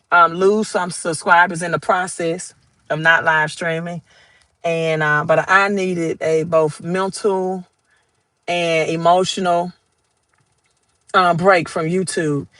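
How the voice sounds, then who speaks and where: A woman's voice: lowish voice, a bit bright, dry recording. A woman with a Southern accent hosting a casual crypto livestream from her phone, chatting, greeting viewers by name and reading their comments aloud. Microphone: phone held vertically.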